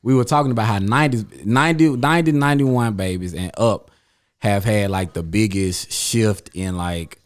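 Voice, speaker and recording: A man's voice: on the low side, normal speed. A man, rambling loosely through a casual chat with friends as the host. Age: 30s. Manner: rambling, loose, uses slang and fillers. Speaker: podcast host.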